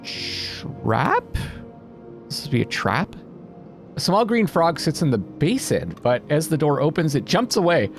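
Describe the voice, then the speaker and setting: A man giving let's-play commentary, reading game text aloud dramatically and thinking out loud as he plays. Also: a little high, big pitch swings, a little slow, vocal fry. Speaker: video game streamer playing a narrative game. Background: music behind the voice.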